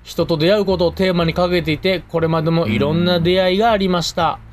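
A man's voice: high-pitched, fairly even intonation, fast talker, a little hiss or hum. A man with a Kansai accent co-hosting a radio show, bantering casually and reading out listener mail in a colloquial way.

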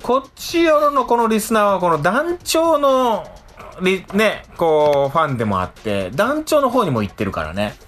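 A man co-hosting a radio show, chatting casually and colloquially, quick to agree and react. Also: high voice, sing-song, fairly bright tone, soft background music.

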